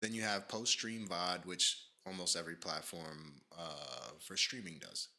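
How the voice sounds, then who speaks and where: A low-voiced man talks through his stream in a loose, rambling way, full of fillers and restarts. His tone is bright and forceful, with a slight nasal edge from allergies. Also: a little slow. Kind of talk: casual livestream commentary, reading from a page.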